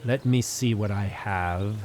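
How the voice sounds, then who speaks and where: A pompous castle gentleman in a fantasy audio drama. He wheedles in florid, hesitant phrases at a slow pace, over faint background ambience. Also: lowish voice, slight background noise.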